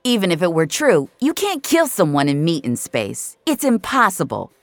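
A woman acts out a cocky male gamer in a sci-fi audio drama. He is mocking and incredulous, pitched up from the narration and swinging widely, in a very dry close recording. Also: huge pitch swings, normal speed, no echo. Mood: incredulous, scornful. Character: Omar, cocky scornful male VR gamer in necromancer skin.

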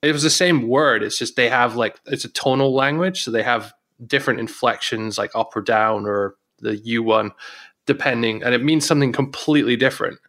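A man co-hosting a podcast, explaining points in a measured, casual way. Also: medium pitch, fairly bright tone. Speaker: podcast co-host.

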